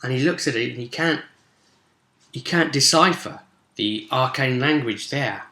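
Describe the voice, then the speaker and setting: A man speaking casually as a game master, recapping a tabletop role-play session with animated, dramatic emphasis. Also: medium pitch, measured pace. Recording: roomy, echoey sound.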